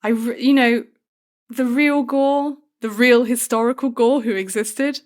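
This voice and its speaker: A woman's voice: a little high, fairly even intonation, measured pace, darkish tone. A woman with a British accent speaks as a guest author in an interview. She is conversational and thoughtful, with a self-deprecating way of talking. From Britain. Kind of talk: conversational interview about a book.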